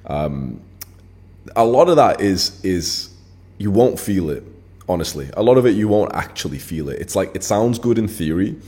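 Low, mellow-voiced man in his twenties. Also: lively intonation, normal speed. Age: 20s. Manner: blunt, emphatic, swears freely, heavy filler words. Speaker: channel host. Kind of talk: casual straight-to-camera monologue.

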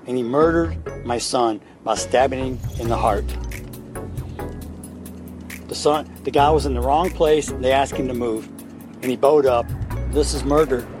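A middle-aged man speaking spontaneously and plainly, giving a personal statement. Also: mid-range voice. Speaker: bereaved father speaking.

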